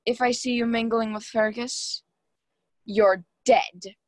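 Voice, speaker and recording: A woman's voice: fairly high pitch, fairly even intonation, takes it slowly, a little mellow, dry recording, studio quality. A woman acting a snide, dismissive wealthy hostess in a mystery radio play. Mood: bitter, threatening. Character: haughty, sharp-tongued wealthy young hostess.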